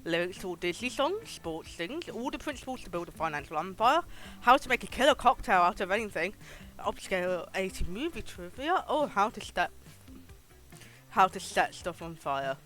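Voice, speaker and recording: A streamer giving live game commentary and reading game text aloud in an animated, giggly way, with casual swearing. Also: mid-range voice, normal speed, poor audio. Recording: music behind the voice. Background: game music.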